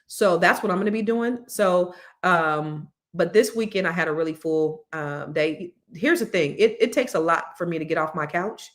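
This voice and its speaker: A woman hosting a live show, chatting excitedly to viewers; her voice is deeper than usual from cigar smoke. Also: normal speed, few pauses. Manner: chatty, excitable storytelling with many fillers. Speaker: host of a live money and news show. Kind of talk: casual chat to viewers.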